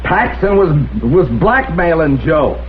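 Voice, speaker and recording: An actor playing a cornered small-time informant in an old crime drama, stammering and evasive in slangy speech. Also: male voice, fairly high pitch, very animated, slow. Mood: frightened, confessing. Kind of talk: mid-century crime drama acting. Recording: dull, noisy vintage broadcast audio.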